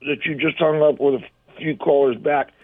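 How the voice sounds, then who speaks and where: This is an older man calling in to a radio show, his voice gruff and a touch high. He wanders from thought to thought in a folksy, easygoing ramble. The sound is narrow and tinny, like a telephone line. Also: steady tone, normal speed. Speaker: caller. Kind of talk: casual phone-in chat.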